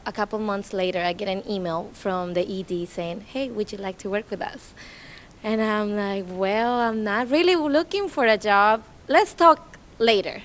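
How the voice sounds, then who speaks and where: A woman from Colombia with a Colombian Spanish accent speaks at a community event in an informal, storytelling way. She is in her thirties and talks in English as a second language, chatty and animated as she retells conversations. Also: medium pitch. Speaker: nonprofit staff speaker. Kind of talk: informal public talk.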